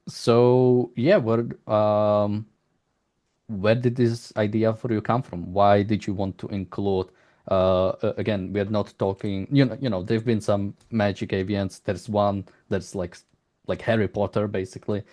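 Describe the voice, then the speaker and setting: Halting low-voiced man interviewing. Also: fairly even intonation, darkish tone. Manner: halting, long rambling questions. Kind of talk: casual interview.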